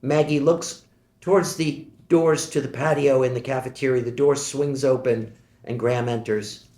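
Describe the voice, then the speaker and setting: A man reading stage directions aloud at a screenplay table read, steady and matter-of-fact. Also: medium pitch, normal speed. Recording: echoey room.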